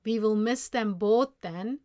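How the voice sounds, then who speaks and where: A woman's voice: mid-range voice, slow pace, mellow, lightly acted. Woman as a firm, honest old grandfather. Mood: sad, firm. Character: proud, honest old grandfather, stern but loving. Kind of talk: storybook character dialogue.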